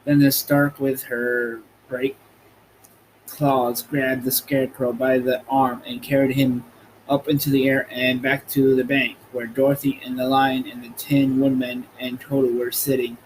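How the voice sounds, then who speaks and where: A man's voice: medium pitch, flat delivery, unhurried, low-quality recording. A man reading a children's storybook aloud as scripted narration. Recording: light background noise.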